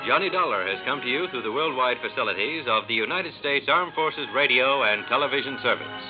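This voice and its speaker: Old-time radio announcer guy, formal, tinny sound with loud music under. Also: mid-range voice, expressive, fairly quick.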